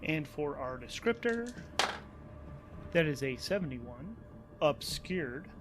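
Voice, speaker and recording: A man hosting and narrating a solo tabletop game in a casual way, thinking aloud and improvising haltingly, often repeating words as he goes. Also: on the high side, very animated, music in the background.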